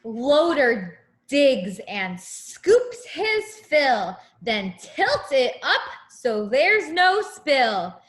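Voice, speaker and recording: A woman reading a picture book aloud to young children as a teacher, in an expressive, deliberate way with simple explanations. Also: high-pitched. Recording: echoey room.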